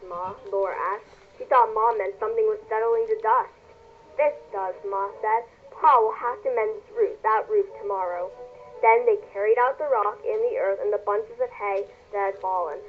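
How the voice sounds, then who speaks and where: A woman's voice: medium pitch, steady tone, normal speed, a little hiss or hum. A female reader with tinny, phone-like sound, reading a children's novel aloud carefully, with stumbles and self-corrections. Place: remote call. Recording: tinny, narrow phone-like call audio.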